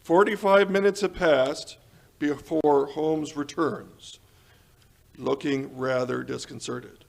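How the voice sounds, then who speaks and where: A man's voice: a little high, fairly even intonation, slow pace, creaky. A man announcing in the style of a 1940s radio sponsor spot, with polished, salesmanlike delivery. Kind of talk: 1940s radio advertisement and narration.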